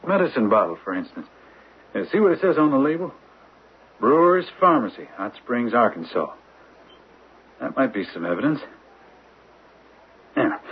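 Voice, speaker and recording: An actor playing a dogged, hot-headed, vengeful young detective in a radio drama, speaking bluntly and folksily with small stammers. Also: male voice, medium pitch, slight background noise. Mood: intent. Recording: muffled old radio broadcast.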